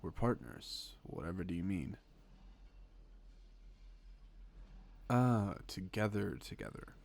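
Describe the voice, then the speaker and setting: Guy acting as a smooth teasing spy in an audio drama, lowish gravelly voice, polite but kinda menacing. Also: very animated, a little mellow, full character voice. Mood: wry, teasing. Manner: polite, wry, unhurried conversational patter with pointed pauses. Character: suave, teasing male secret agent, playful and calmly menacing. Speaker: voice actor in audio roleplay. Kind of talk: scripted audio roleplay, intimate one-sided dialogue.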